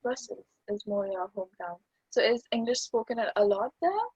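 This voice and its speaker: A woman's voice: medium pitch, lively intonation, moderate pace, a little mellow, breathy edge, no room sound. A young woman interviewing a guest, eager and chatty, saying "yeah" and "like" often, over dull lo-fi video call audio. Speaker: interviewer host. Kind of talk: casual interview chat.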